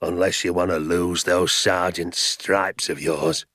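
A man voicing a pompous, furious landowner who shouts and rants. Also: fairly low pitch, fairly quick, gravelly, breathy edge, dry recording, clean studio sound, full character voice. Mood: blustering. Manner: shouting, ranting, insulting.

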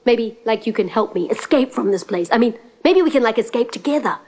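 A woman's voice: a little high, animated, a little mellow. Woman voicing a jittery young prince. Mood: flustered. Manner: fast, flustered, stammering. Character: jittery young male prince, a nervous prisoner.

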